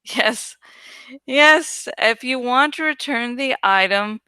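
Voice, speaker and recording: Sly, slow-talking shabby madwoman, acted by a woman reader. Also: a little high, pushed and bright, clean tone, no echo. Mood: sly, crafty. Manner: crafty, precise, repetitive. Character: shabby, sly madwoman who repeats herself eerily.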